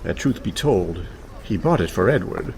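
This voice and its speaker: A man's voice: sits low, lively intonation, normal speed, darkish tone, a little hiss or hum. An actor playing a cheerful, scheming and overconfident con man in a comic radio play, breezy and persuasive. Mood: reassuring.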